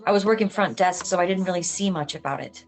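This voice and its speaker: An actress reading an inquisitive, reserved but friendly police officer in a film script, casual and understated. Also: female voice, fairly low pitch, fast talker, faint music behind, natural voice. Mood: curious, casual. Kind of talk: table read of a film script.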